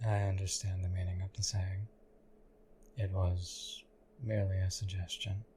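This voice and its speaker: Man playing a deep, flat-voiced stoic knight. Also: slight background noise. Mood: earnest, deadpan. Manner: deadpan, formal, measured, archaic courtly phrasing. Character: stoic, loyal male knight, formal and devoted. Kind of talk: scripted audio roleplay addressed to the listener.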